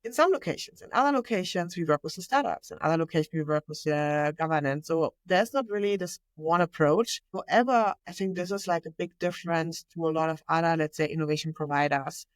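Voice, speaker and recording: A woman's voice: low voice, fairly quick, few pauses, no echo. A woman with a German accent speaking non-native English, guest on an interview podcast, talking eagerly and fluently with small slips. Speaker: podcast guest, innovation manager. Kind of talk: conversational interview.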